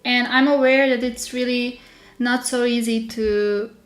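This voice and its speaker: Unhurried, higher-voiced Serbian-accented woman, slightly unwell. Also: fairly even intonation. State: slightly unwell with a sore throat. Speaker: digital journaling creator hosting a live stream. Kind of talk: casual live tutorial chat.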